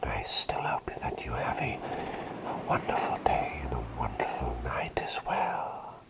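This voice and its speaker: Man playing a very deep, whispering doting plague doctor. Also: wildly swooping pitch, unhurried, full character voice. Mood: tender, celebratory. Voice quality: breathy whisper with creaky low undertone. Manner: soothing, doting, repeats phrases tenderly. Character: doting, gentle male plague doctor tending his patient. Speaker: ASMR roleplay performer. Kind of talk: whispered ASMR personal-attention roleplay.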